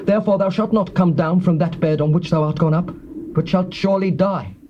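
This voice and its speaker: A man's voice: high voice, brisk, close to an everyday voice. An actor playing a male royal messenger who earnestly reports a prophet's warning in a dramatized scripture recording. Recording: dull tape with faint hiss.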